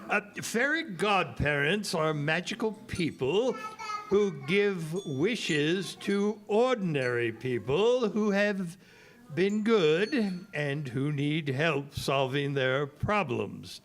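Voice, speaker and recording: A fussy, kindly fairy godparent, acted by a man for children's audio theater. The voice sits fairly high and moves very slowly, with theatrical, hesitant explanations meant for young listeners. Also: expressive, fairly bright tone, exaggerated voice. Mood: kindly explaining.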